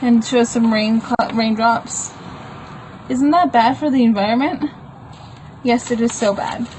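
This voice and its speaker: A woman's voice: on the high side, unhurried, a little mellow, slight background noise, rough recording. A woman reading her own homemade comic aloud in a plain, unpolished way, with informal explanatory asides. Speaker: comic book author reading own work.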